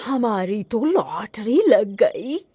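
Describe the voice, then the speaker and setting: A woman voicing a good-natured, easily satisfied male villager in a cartoon story, drawling and expressive. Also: mid-range voice, drawn-out words, husky, heavily acted. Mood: overjoyed.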